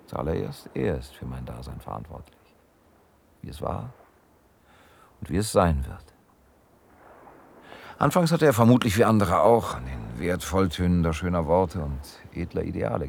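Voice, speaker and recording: A detached, philosophical wanderer in a radio drama. His deep, gravelly voice is measured and reserved, over faint background noise. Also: heavily acted. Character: Heist, reflective, world-weary detached male wanderer. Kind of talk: German radio drama acting.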